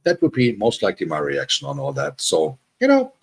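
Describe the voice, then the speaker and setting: A man's voice: on the low side, huge pitch swings, a little fast, gravelly, slightly rough. A man from Germany, hosting a casual livestream chat and rambling with many restarts and self-deprecating asides. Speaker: livestream host.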